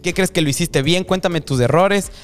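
A man's voice: sits high, moderate pace, faint music behind. A man from Ecuador, hosting a podcast interview in a candid, talkative, reflective way. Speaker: podcast host. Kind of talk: casual interview chat.

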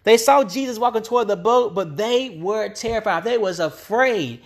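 A woman's voice: mid-range voice, expressive, normal speed. A woman preacher delivering an impassioned, off-the-cuff sermon, repeating phrases emphatically to build up her point.

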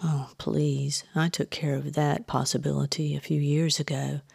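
A woman voicing a sardonic, calm grown daughter with deadpan, understated delivery for a storybook. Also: deep voice, normal speed, gravelly, husky, dry recording, clean studio sound, full character voice. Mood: flat, sardonic. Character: dry, sardonic, resolutely calm adult daughter.

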